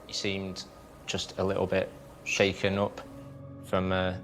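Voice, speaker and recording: Low-voiced paramedic, measured man. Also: completely flat delivery, a bit bright. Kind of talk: interview. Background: music.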